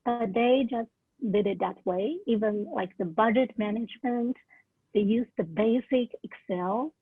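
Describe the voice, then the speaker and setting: Young woman, English speaker, earnest career-advice talk, husky, tinny phone-like call audio. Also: a little high, a little slow, no echo. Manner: earnest, with many "like" and "uh" fillers. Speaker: alumna sharing career tips. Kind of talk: casual talk at a virtual orientation. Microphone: video call.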